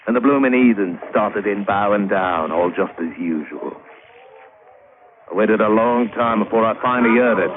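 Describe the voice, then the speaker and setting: A man's voice: lowish voice, normal speed, natural voice. An actor playing a roguish, crafty working-class British adventurer who spins his yarn colloquially in an old radio drama. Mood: wry, confiding. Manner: colloquial, wry storytelling with folksy grammar. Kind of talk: old-time radio drama narration and dialogue. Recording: tinny, hissy old radio recording.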